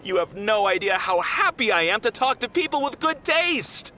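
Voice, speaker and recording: A formal, dignified troupe master. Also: male voice, high-pitched, very animated, normal speed, pushed and bright, a little hiss or hum, full character voice. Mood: indignant. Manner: formal, declamatory. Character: older man, theater troupe master, dignified and stern. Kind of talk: video game voice acting. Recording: game audio with music behind.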